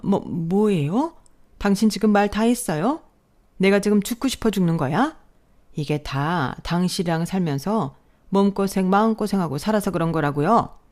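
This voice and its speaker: A woman's voice: mid-range voice, lively intonation, normal speed, darkish tone, voice pushed up, natural voice. A woman voicing a spiteful, sick older mother-in-law who scolds sharply and venomously. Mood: furious.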